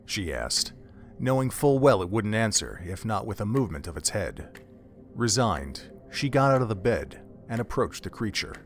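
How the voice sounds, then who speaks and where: A man with a fairly low voice narrates an audio drama. His delivery is solemn and literary, like scripted storytelling, with music faintly underneath. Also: big pitch swings, normal speed.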